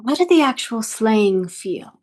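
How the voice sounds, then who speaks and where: A woman acting as an eerily composed, menacing interrogator in a script table read, speaking formally and deliberately. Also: fairly high pitch, big pitch swings. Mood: coolly probing. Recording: music playing behind the voice.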